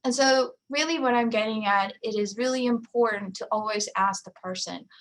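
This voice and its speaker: Gravelly-voiced woman giving a casual talk. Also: on the high side, normal speed, a little hiss or hum, low-quality recording. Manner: conversational, many fillers and "you know". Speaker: presenter. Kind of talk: conference talk.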